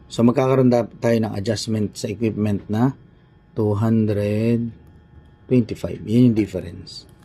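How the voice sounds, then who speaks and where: A Filipino man with a soft-edged, very mellow and husky voice gives an informal lesson. His pitch sits a little low, and he thinks aloud step by step, often repeating key points. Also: steady tone, unhurried. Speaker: accounting teacher. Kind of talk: informal recorded lesson walking through a worked example.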